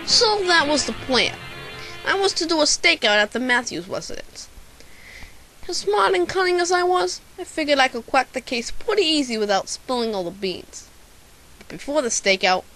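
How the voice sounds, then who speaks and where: A high, very animated private detective, acted by a woman in an amateur radio play. The delivery is pompous and theatrical, full of bumbling self-importance. Music plays behind the voice. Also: moderate pace, rough recording. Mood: self-important narrating. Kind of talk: hammy amateur radio drama detective narration.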